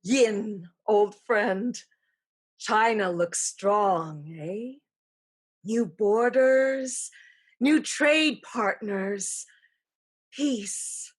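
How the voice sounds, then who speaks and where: A woman playing an ageless, regal sorceress, sly and ambitious, in a slow, portentous staged play reading. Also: mid-range voice, slightly rough. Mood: sly, scheming.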